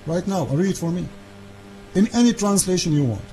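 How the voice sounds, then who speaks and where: A man whose first language is Arabic hosts a call-in debate, insistent and argumentative, speaking broken English and cutting in with quick repeats. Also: high-pitched, lively intonation, dark tone, faint music behind. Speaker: debate show host.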